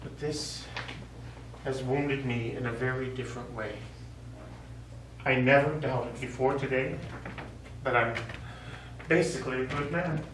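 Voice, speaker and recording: A man's voice: medium pitch, steady tone, a little slow, long pauses, natural voice. Former soldier, male stage actor: sincere, wounded, devastated; picked up from afar with background noise. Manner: earnest, wounded. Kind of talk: stage play acting. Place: theatre studio stage. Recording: echoey stage, distant mic, noisy.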